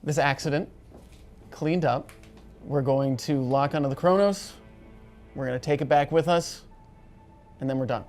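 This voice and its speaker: A woman's voice: deep voice, moderate pace, pauses often, darkish tone. A woman playing a ship's captain in a tabletop role-playing game, speaking decisively and matter-of-factly. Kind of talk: in-character tabletop role-play. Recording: background music.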